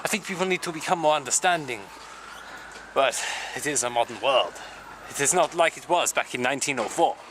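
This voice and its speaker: A young man performs a self-styled wandering samurai who rambles in a flat, deadpan way at a middling pitch. He breaks into sudden crude outbursts. The recording is outdoors, with loud street and traffic noise behind him. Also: fairly quick, natural voice. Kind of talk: improvised character comedy to camera.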